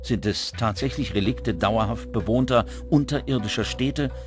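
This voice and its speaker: Male documentary narrator, mid-range voice, scripted delivery, clearly audible music bed. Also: mid-range voice, normal speed. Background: music under the voice.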